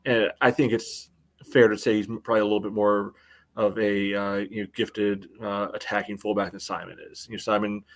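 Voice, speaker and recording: Man, low voice, podcast co-host, casual sports analysis, halting and rambling delivery. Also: little pitch movement. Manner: halting, rambling, very frequent "you know".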